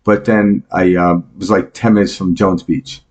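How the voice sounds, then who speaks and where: A man's voice: sits low, flat delivery, normal speed, a little mellow. A man in his fifties with a New York accent, a guest on a podcast, talking casually, joking and explaining ideas with homely examples. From New York, United States. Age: 50s. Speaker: meditation teacher and podcast guest. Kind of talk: casual interview talk.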